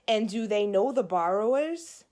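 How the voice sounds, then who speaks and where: A woman's voice: medium pitch, expressive, measured pace, a little mellow, lightly acted. Woman playing an eager, pestering little boy. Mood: curious, excited. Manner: eager, questioning, wide-eyed. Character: curious, pestering little brother, a young boy. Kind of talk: children's storybook read-aloud.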